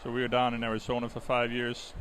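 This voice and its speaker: A man's voice: mid-range voice, fast talker, poor audio. A middle-aged man from Denmark, a native Danish speaker, giving live swimming commentary in a conversational, explanatory way with some hesitation. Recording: steady clear background venue noise. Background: swim meet ambience.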